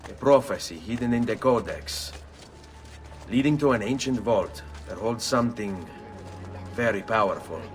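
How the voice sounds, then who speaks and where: An actor playing a determined young male assassin in a game cutscene, speaking in a low, intense way. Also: normal speed, close to an everyday voice. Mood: earnest. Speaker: game protagonist. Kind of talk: video game cutscene acting.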